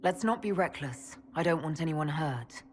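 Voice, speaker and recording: A woman's voice: sits low, animated, moderate pace, darkish tone, a little hiss or hum. An actress playing a cautious, earnest elven woman who leads a band in a fantasy game, direct and questioning. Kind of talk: dramatic fantasy game acting.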